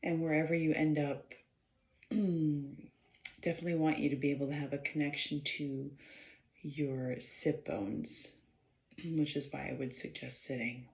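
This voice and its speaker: A woman leading a guided meditation in a measured, halting way, pausing between short phrases and often clearing her throat. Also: deep voice, steady tone, a little mellow. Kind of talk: slow guided meditation instruction. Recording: roomy, slightly dull sound.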